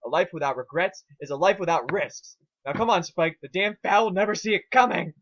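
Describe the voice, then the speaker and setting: Man playing a manic, high-pitched irritable pony scholar. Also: a little fast, fairly bright tone, dull audio. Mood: smug, scheming. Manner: ranting, gleefully obsessive. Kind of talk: amateur dramatic fan-fiction reading.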